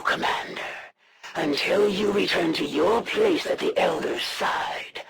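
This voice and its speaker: Gravelly, haughty alien assassin. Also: mid-range voice, noisy background. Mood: stern, menacing. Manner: formal, grandiose, solemn, deliberate menace. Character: proud, honor-bound alien assassin serving dark masters. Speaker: villain voice in a strategy video game. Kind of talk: dramatic scripted game voice acting.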